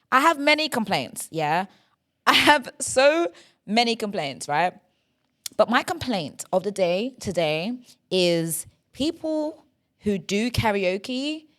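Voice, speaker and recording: A woman's voice: mid-range voice, fairly bright tone. Chatty young woman ranting. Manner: chatty, tag questions after nearly every line. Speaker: podcast host. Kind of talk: solo podcast rant.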